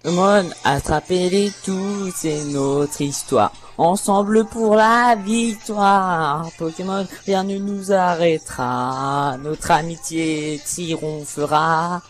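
A man slowly works through a cartoon theme, half singing and half reciting. His delivery is halting and self-conscious, with music behind him. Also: sits high.

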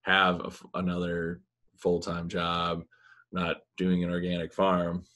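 Low-voiced man, thirties, laid-back rambling talk, lots of fillers, laptop mic video call. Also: a little slow. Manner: relaxed, rambling, many fillers. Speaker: musician guest. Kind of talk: casual video-call interview. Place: small room with blinds, indoors. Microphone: laptop webcam mic on video call.

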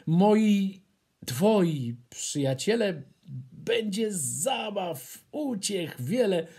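A man voicing a friendly, caring little male hare from a children's storybook, husky and earnest. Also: high voice, very animated, drawn-out words, warm tone, pitched above the performer's own voice, exaggerated voice. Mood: warm, pleading.

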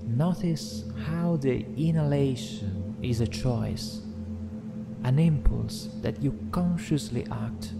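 A man narrating a guided meditation in a gentle, soothing, deliberate way, leaving long pauses between phrases. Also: mid-range voice, gravelly. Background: meditation music with singing bowls.

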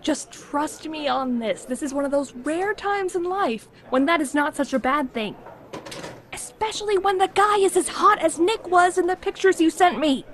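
A breezy young woman in an audio drama. Her high voice is chatty and wry, teasing like a close friend. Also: expressive, normal speed, fairly bright tone. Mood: teasing, coaxing. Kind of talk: naturalistic audio drama acting.

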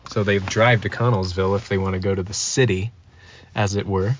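Guy guest just chatting, lowish voice, muffled audio w a bit of noise. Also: fairly even intonation, a little fast. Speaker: podcast guest. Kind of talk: casual chat. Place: inside a car. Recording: dull lo-fi, faint noise.

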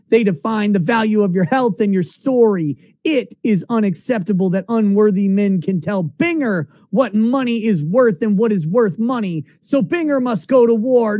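A man's voice: high voice, darkish tone, no room sound, studio quality. A middle-aged man reading a text aloud in a declamatory, emphatic, dramatic way.

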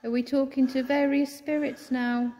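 An English woman on a ghost hunt, coaxing spirits with soft, gentle questions in an old church, filmed on a handheld phone. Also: high-pitched, flat delivery, normal speed. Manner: coaxing, soft, often drops to a whisper. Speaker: ghost hunter. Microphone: handheld phone camera. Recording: echoey church with long reverb.

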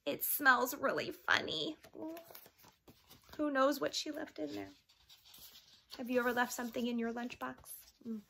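A woman's voice: high voice, unhurried, vocal fry, long pauses, a little hiss or hum. A woman in her thirties reading expressively to young children, with chatty, questioning asides in a sing-song manner. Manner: animated, sing-song, asking the listeners questions. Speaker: children's read-along teacher. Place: small child's bedroom, indoors.

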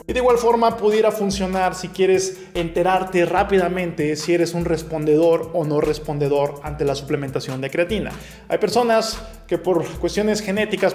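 A young man, a nutrition educator, speaking to camera in a small home room and working through common questions one by one in a clear, orderly way. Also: sits high, darkish tone, reverberant. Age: young adult. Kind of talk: explainer video to camera. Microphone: camera facing him at close range.

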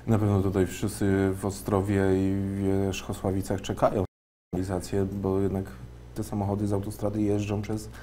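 A man in his thirties with a low, warm voice poses questions as a local TV interviewer, in a measured way with occasional hesitations. A light hiss runs under the lapel mic. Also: monotone. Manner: measured, some fillers. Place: local TV studio.

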